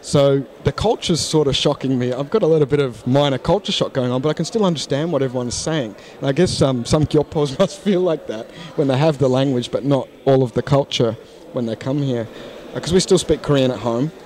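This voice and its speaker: A man's voice: mid-range voice, fairly quick, a little mellow. Frank man at a conference. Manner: frank, thoughtful, many restarts. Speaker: interview guest, English teacher. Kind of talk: casual interview. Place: conference hall lounge, indoors. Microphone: handheld mic.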